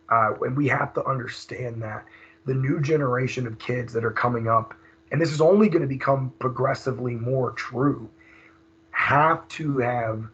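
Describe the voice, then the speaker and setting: A hoarse man, leading a recorded teacher training seminar in a conversational way, with many fillers and restarts. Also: mid-range voice. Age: 30s. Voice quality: raspy. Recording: dull lo-fi screen recording.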